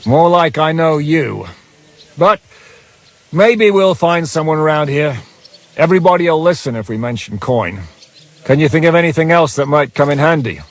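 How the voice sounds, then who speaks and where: An actor voicing a gruff, sardonic leader of an armed band in a video game, giving orders. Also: male voice, on the high side, lively intonation, normal speed, no echo, close to an everyday voice. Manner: sardonic, commanding. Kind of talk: video game character acting.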